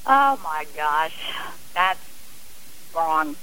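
A woman's voice: sits low, animated, drawn-out words, pushed and bright, a little hiss or hum, poor audio. A woman speaking earnestly and emphatically as a guest on a radio interview.

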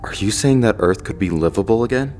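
A male actor plays an impulsive, questioning working man in a space audio drama. His voice is very deep, his manner probing and excitable, with light music behind him. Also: fairly quick, natural voice.

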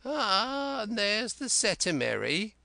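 A wild old castaway who rambles on eagerly in rustic dialect, his voice jumping high and swooping all over, as a male narrator puts him on. He talks slowly and brightly, close and dry. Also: very animated, creaky, dry recording, heavily acted.

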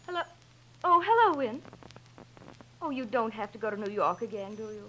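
An actress playing a genteel, polite society wife in the style of 1940s radio drama. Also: female voice, a little high, expressive, normal speed, bright, forceful tone, low-quality recording. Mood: disappointed, curt.

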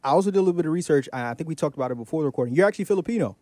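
Fast-talking energetic man hosting a podcast. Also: fairly high pitch, no echo. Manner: energetic showman hype; casual slangy talk. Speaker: podcast host. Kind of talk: interview podcast.

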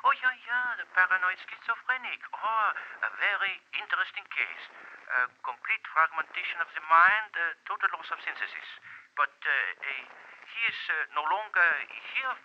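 A man's voice: sits high, very animated, normal speed. An actor playing a busy, flustered male psychiatric doctor in a 1940s radio drama, stammering and repeating himself as he spouts jargon. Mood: excited, rambling. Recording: dull, noisy vintage radio telephone scene.

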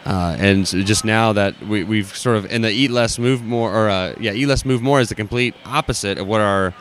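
Podcast host, man, lowish voice, playful and rambling, faint expo-floor ambience. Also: moderate pace, fairly bright tone, slight background noise. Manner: rambling, playful, heavy use of "like". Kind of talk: casual interview chat.

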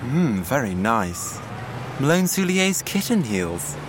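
Courteous young doorman, suave swoopy delivery, audio drama, clear traffic noise. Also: medium pitch, sing-song, slow. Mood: admiring.

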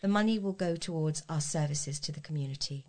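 A woman's voice: sits low, brisk, darkish tone. A woman with a British English accent reading scripted local news aloud as a volunteer newsreader.

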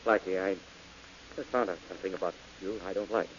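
A man plays a resentful, cornered killer who grows more threatening the longer he talks. He speaks fast, and his voice sits in a middle range. The old broadcast is dull, with a light hiss. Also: deep voice, steady tone, natural voice. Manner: defensive, rising to angry threats. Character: bitter, hunted male murder suspect. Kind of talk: 1940s radio acting.

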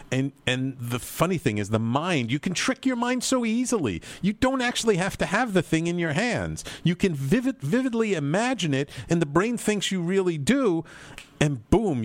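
A man hosting a talk show, talking in a rambling, earnest way with repeated words. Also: a little high. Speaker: host. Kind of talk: casual talk show chat, livestream.

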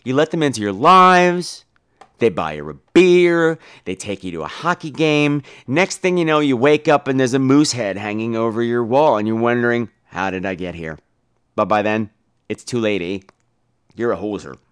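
A man's voice: medium pitch, animated, moderate pace, fairly bright tone, clear, resonant tone. A man hosting a podcast with casual comic commentary, in a campy, sardonic, theatrical, foul-mouthed manner. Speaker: podcast host.

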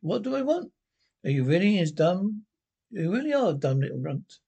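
A man playing a mocking male alter ego that speaks in a sly, drawn-out, taunting way. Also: high-pitched, very animated, vocal fry, mellow, slightly rough, exaggerated voice. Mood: smug. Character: mocking male inner voice, smug and knowing.

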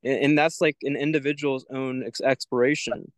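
A man's voice: mid-range voice, steady tone, normal speed, no room sound. A young man as a podcast guest, talking in a thoughtful, searching way with many "like" fillers. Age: young adult. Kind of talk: reflective spiritual conversation.

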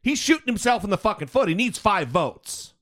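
A man's voice: high voice, big pitch swings, fairly quick, a bit bright. An American man co-hosting a podcast in casual political chat, speaking emphatically, repeating words and swearing freely. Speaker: podcast co-host.

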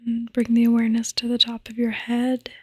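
A woman gently guiding a sleep meditation in a soft, breathy, soothing voice that often nears a whisper, close to the microphone. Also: a little high, monotone, a little fast, dry recording. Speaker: podcast host and meditation guide. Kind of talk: guided meditation, sleep podcast.

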